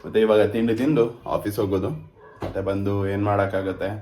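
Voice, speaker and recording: A man's voice: on the low side, steady tone, fast talker, dark tone, a little hiss or hum. A man with a Karnataka Kannada accent chatting informally to viewers as a vlogger. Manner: informal, addressing viewers as guys. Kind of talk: casual vlog chat.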